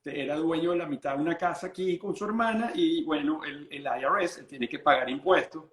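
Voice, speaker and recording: A Venezuelan-born lawyer explaining estate planning in an interview, patient and full of restarts. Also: male voice, a little high, a little fast, a bit bright, echoey room. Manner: explains patiently, many restarts and repeated words.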